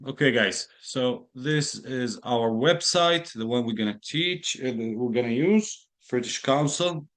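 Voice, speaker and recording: A man teaches an English class over a video call with his camera switched off. He talks patiently into a computer mic, saying key phrases twice and explaining idioms as he goes. His voice sits at a middle pitch. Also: unhurried, few pauses, fairly bright tone. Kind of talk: online lesson, explaining slowly.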